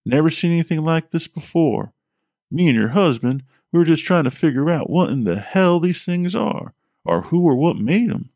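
Male narrator voicing a high, folksy Vermont plumber, blunt and joking. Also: lively intonation, normal speed, darkish tone, no room sound, clean studio sound. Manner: folksy, drawling, a bit crude.

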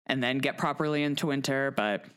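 A woman's voice: a bass voice, fairly quick, a bit bright, smooth. An American woman, around her thirties or forties, chatting casually as a podcast co-host. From United States. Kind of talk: casual chat.

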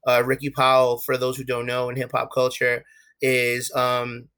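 A man's voice: mid-range voice, monotone, moderate pace, sharp tone, clear, resonant tone. A young man with a New York accent, talking candidly as an interview guest, with many restarts and fillers. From USA. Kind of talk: casual interview talk.

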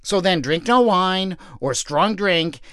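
A man doing an excited, earnest wife. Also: sits high, takes it slowly, dry recording, clean studio sound, voice pushed up, full character voice.

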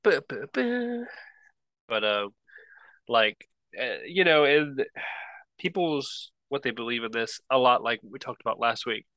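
Stuffy-nosed man, bright and forceful. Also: mid-range voice, expressive, measured pace, dry recording. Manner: rambling, hesitant, laughs easily. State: stuffy nose from allergies. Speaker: podcast co-host. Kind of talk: casual chat.

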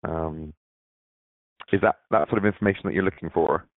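A man's voice: low voice, a little fast, no room sound. A man, born in Barbados, answering interview questions hesitantly with many fillers, over a phone-like line. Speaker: diversity manager, interview guest.

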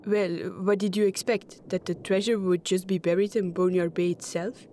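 A woman voicing a bold young woman diver in an audio drama, brisk and matter-of-fact. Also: mid-range voice, flat delivery, mellow, a little hiss or hum, lightly acted. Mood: impatient.

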